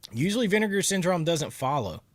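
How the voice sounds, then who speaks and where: A man's voice: high voice, no echo. Stuffy-nosed man chatting with viewers. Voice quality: nasal from congestion. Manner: chatty, laughs often, answers viewer comments. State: stuffy nose, sore throat. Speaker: livestream host. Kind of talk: casual live chat with viewers.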